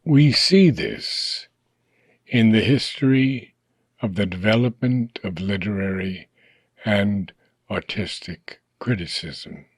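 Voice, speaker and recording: A man reading a philosophical book aloud for an audiobook, in slow, measured phrases that trail off at their ends. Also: lowish voice, animated, slightly rough, dry recording.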